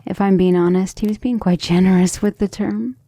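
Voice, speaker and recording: A woman acts out an ancient vampire lady in an ASMR roleplay, low in pitch with a soft, dark timbre. She is proud yet devoted, musing tenderly in a breathy murmur that often sinks to a whisper. Her words come out refined and intimate, edged with vocal fry. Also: fairly even intonation, fairly quick, no echo, natural voice. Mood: wistful, confiding. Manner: affectionate, refined, hesitant musing.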